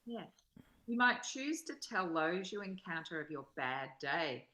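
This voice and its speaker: A woman's voice: fairly low pitch, animated, a little slow, pushed and bright. A woman in a spiritual study group, sharing reflectively and reading aloud in an earnest, introspective way.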